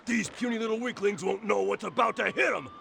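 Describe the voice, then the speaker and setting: A man voicing an aggressive, boastful cartoon drill-instructor type, barking with a strained gravelly voice in a video game. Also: high-pitched, fairly quick, sharp tone, slight background noise, heavily acted. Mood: gleefully aggressive. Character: aggressive, pumped-up drill-instructor tough guy.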